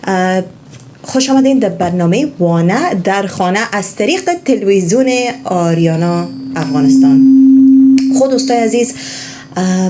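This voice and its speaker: A woman hosting a talk show and interviewing her guest, talking with many fillers and restarts. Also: mid-range voice. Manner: warm, hesitant, with many fillers.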